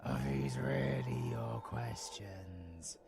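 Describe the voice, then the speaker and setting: Slow, gravelly British vampire villain. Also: male voice, mid-range voice, sing-song, music in the background, heavily acted. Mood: predatory, taunting. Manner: theatrical, mocking, taunting, breaking into singsong. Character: sadistic grey-skinned ancient vampire monster, male, gleefully predatory. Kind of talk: audio roleplay villain acting.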